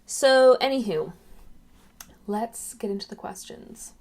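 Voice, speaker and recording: A woman's voice: on the high side, takes it slowly, a little mellow. A young woman chats into her webcam at home. She rambles in a loose, friendly vlog style and keeps drifting off topic. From England, raised in Ireland. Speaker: YouTuber. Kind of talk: casual chatty vlog.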